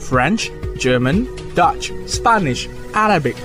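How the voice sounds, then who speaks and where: A man's voice: fairly high pitch, expressive, slow pace. Formal male station announcer over music. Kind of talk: scripted station promo.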